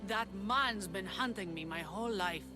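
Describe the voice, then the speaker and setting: A woman's voice: mid-range voice, normal speed, over music, natural voice. A woman playing a passionate young rebel leader of ancient Greece in a video game cutscene. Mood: bitter.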